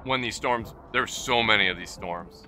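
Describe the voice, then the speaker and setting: A man's voice: fairly low pitch, normal speed, pushed and bright, slight background noise. A man streaming a game, chatting casually with his friends and his viewers. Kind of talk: casual gaming banter with friends and stream chat.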